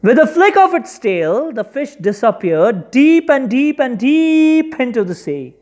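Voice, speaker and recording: A storyteller narrating a children's folktale expressively, with deliberate, dramatic emphasis. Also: high-pitched, big pitch swings, a little mellow.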